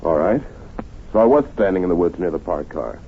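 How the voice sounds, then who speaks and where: Radio drama, defensive, rattled husband, indignant delivery, lowish and lively pitch, noisy vintage narrow-band sound. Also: male voice, a little fast. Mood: challenging, gruff. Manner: agitated, evasive, indignant. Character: nervous, defensive husband hiding guilt in a murder case. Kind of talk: 1940s radio drama acting. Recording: muffled, noisy old radio recording.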